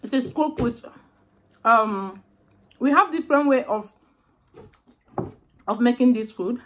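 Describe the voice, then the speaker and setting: High-voiced Nigerian woman, slow and savoring. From southeastern Nigeria. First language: Igbo. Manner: slow, savoring, with drawn-out hums between bites. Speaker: food vlogger. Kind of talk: mukbang eating vlog.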